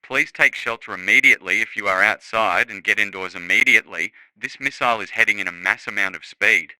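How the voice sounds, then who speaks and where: A man's voice: fairly low pitch, brisk, very noisy. A man delivering a scripted emergency alert as a government announcer.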